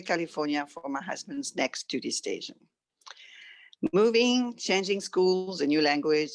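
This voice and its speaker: A middle-aged woman from France with a French accent, reading a written personal story aloud as a guest. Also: deep voice.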